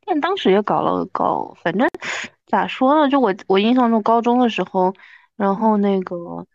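A woman's voice: mid-range voice, lively intonation, moderate pace, creaky, a little mellow, dry recording. Woman in her 30s, dry dull-sounding mic, chatty podcast host looking back, pokes fun at herself. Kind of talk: casual reminiscing chat.

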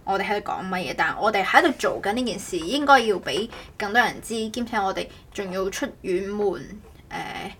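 A woman with a Cantonese accent and a medium-pitched voice hosts a casual podcast. She talks loosely and off the cuff, repeating and rephrasing her thoughts. It sounds like everyday conversation. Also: moderate pace, fairly bright tone, a little hiss or hum. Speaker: podcast host. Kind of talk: casual chat.

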